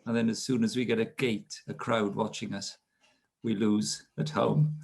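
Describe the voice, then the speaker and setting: Man, fifties, Welsh accent, gravelly, husky, anecdotal storyteller. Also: fairly low pitch, little pitch movement, normal speed, darkish tone. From South Wales. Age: 50s. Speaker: guest speaker. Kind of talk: relaxed storytelling interview.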